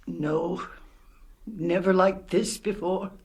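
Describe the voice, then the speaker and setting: A woman's voice: fairly low pitch, steady tone, slow pace, creaky, a little mellow. An actress playing a worn, tender, worried old village mother in a radio play, with a coaxing, motherly manner.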